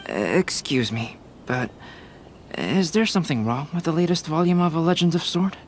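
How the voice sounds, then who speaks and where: A man voicing a calm, composed young male painter in a video game, speaking in a measured way. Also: fairly high pitch, a little hiss or hum. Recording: game audio with faint score.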